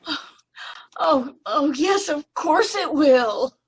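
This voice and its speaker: A woman acting a timid, polite young woman in an old-time radio play, speaking hesitantly and apologetically in a breathy, husky voice. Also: sits high, animated, takes it slowly, rough recording. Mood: moved, gentle. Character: timid, polite young woman seeking her lost brother.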